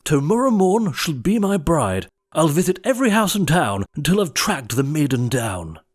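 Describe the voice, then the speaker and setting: Male reader as fairy-tale prince, pompous, hot-tempered, raised pitch, dramatic verse delivery. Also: sing-song, moderate pace. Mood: ardent, declaring. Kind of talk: storybook character voice in rhyming verse.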